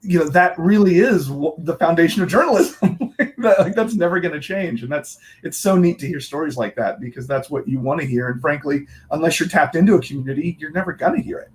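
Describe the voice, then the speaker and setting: A man with a slightly high-pitched voice hosts a casual interview with easy enthusiasm. He agrees eagerly, laughs along with his guest, and keeps the chat light. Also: animated, a little fast, few pauses. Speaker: interview host.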